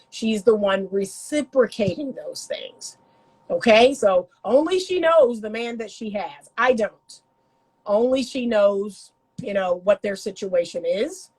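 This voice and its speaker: A fairly high-voiced woman speaks to her viewers as a relationship coach. Her voice is congested from a passing cold, and she leans on each point with emphatic repetition. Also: low-quality recording. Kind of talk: casual vlog commentary.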